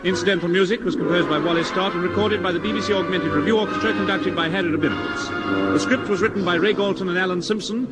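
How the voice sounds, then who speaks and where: A man's voice: high-pitched, fast. A British man announcing formally in the style of 1950s radio, on an old broadcast recording. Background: music.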